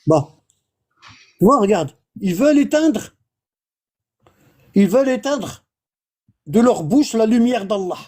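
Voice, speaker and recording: Homme à la voix haute et voilée qui enseigne. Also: male voice, sits high, animated, takes it slowly, long pauses, darkish tone, husky. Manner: explains patiently, repeats words and checks understanding. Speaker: religious instructor. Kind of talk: informal religious teaching.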